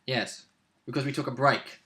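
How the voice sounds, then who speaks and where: A man's voice: mid-range voice, fairly even intonation, moderate pace, sharp tone. A young man, a player and co-host, chatting casually about a roleplay game at home. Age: young adult. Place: small room at home, indoors.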